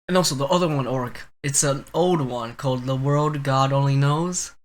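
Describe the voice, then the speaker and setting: A man's voice: mid-range voice, moderate pace. A man co-hosting a podcast, talking casually and informally with friends. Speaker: podcast co-host. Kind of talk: casual chat about anime.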